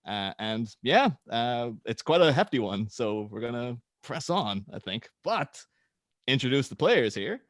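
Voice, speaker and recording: Man, mid-range pitch, lively swings in intonation, informal host, script narrator, very dry sound. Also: measured pace, no echo. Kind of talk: casual introduction, then reading screenplay directions aloud.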